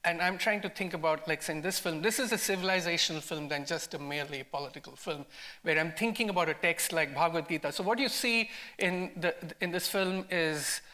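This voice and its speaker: A man's voice: on the high side, brisk, bright, forceful tone. A man with an Indian accent speaking as a film director in an academic discussion, emphatic and repeating words as he works out his ideas. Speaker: film director, panel guest. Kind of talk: academic post-screening discussion.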